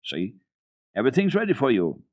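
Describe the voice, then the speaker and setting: Man reading as a gruff but kindly old grandfather. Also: mid-range voice, huge pitch swings, a little fast, pitched above the performer's own voice. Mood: firm, instructing.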